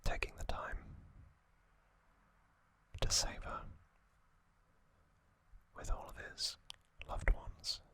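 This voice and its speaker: A man whispering an ASMR trivia recap in a breathy, unvoiced voice, deliberately, in short phrases with pauses. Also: bright, forceful tone. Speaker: ASMR host.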